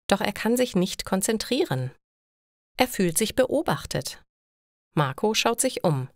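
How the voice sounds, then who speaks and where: A woman reading scripted stories for language learners in a clear, deliberate narrating style. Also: on the low side, dry recording, clean studio sound.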